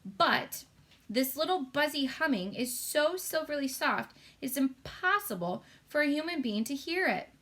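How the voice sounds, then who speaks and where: A woman voicing a big, gentle storybook giant who speaks wistfully in muddled, folksy grammar. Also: high-pitched, animated, a little slow, voice pushed up. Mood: mysterious.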